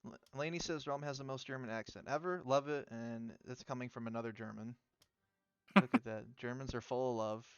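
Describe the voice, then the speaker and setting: A man casting a video game tournament in a relaxed, chatty way, with lots of fillers. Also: mid-range voice, fairly quick, clear, resonant tone, dry recording, dull audio. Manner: chatty, laid-back, filler-heavy. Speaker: tournament caster. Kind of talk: casual game commentary.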